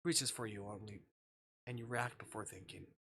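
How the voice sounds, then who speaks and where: A man's voice: on the low side, steady tone, normal speed, vocal fry, pushed and bright, slight background noise. A man streaming a dramatic read-aloud of visual novel text, sometimes stumbling over words and rereading them.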